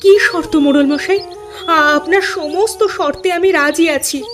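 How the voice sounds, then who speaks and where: Lady doing a poor village mom in a cartoon, really high voice, plaintive n respectful, dramatic, music playing behind. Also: moderate pace. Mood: anxious. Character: poor, pleading village mother, abandoned wife. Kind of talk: Bengali cartoon voice acting. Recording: loud background music under the voice.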